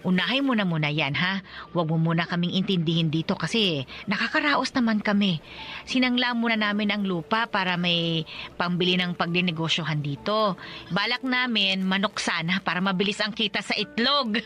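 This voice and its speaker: Woman acting a bright-voiced, fussing Filipino mother. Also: medium pitch, moderate pace, lightly acted. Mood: chatty, reassuring. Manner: fussing, chatty, peppered with "eh" and "ha". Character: loving, worried rural Filipino mother, older, teasing. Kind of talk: Filipino radio drama acting.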